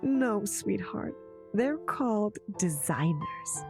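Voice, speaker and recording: Sweet wise old grandma from a game, gentle n loving, voice goes up and down a lot. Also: female voice, medium pitch, lively intonation, creaky, over music. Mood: fond, gentle. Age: older. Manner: gentle, affectionate, measured. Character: tender, wise elderly grandmother teaching her granddaughter. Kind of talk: game voice acting.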